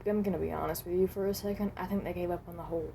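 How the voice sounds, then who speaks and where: A woman voicing a reluctant, resigned animatronic rabbit from another dimension in a fan roleplay, speaking haltingly and correcting herself. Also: fairly low pitch, steady tone, creaky, dark tone, a little hiss or hum. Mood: resigned, wary. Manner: hesitant, halting, self-correcting.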